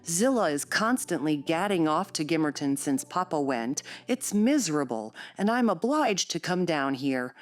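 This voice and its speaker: A woman's voice: on the low side, sing-song, a little slow. A woman voicing a peevish, sickly teenage boy who whines and complains fretfully in an audiobook story. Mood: fretful, weary. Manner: whining, complaining.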